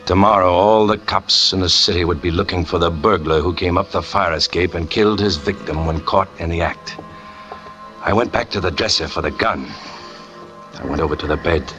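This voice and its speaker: A brooding, jealous circus catcher. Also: male voice, low voice, normal speed, fairly bright tone, muffled recording, soft background music. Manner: brooding first-person confession, rising to anguish. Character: jealous, tormented young male circus catcher. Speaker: narrator and lead character. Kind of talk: 1940s radio drama acting.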